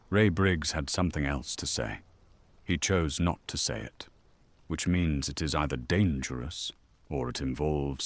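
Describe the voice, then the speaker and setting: A man playing a shrewd detective inspector in a noir audio drama, husky, deliberate and probing. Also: rumbling low, a little mellow, no room sound, full character voice.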